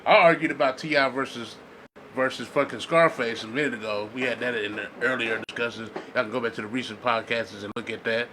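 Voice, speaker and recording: A man's voice: medium pitch, fairly even intonation, fairly quick, pushed and bright, a little hiss or hum, rough recording. A man co-hosting a casual podcast debate, arguing in profane slang and repeating words for emphasis. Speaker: podcast co-host.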